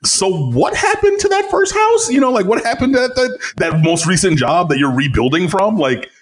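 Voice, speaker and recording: A man with a low bass voice, a guest on a podcast, telling stories in a rambling, animated way full of fillers. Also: normal speed. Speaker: podcast guest, site editor. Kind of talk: casual chat.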